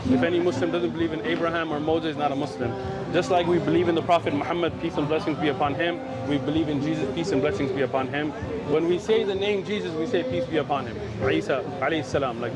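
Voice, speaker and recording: Friendly street preacher, outdoors. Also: male voice, fairly high pitch. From Pakistan, raised in San Diego. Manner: friendly, persuasive, frequent "right?" tags. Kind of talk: street conversation about faith.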